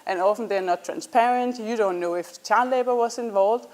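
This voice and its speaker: A young woman with a Danish accent giving a casual startup pitch to a class, with frequent ums and restarts. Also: moderate pace, darkish tone. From Denmark. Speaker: startup founder presenting. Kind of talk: student pitch presentation.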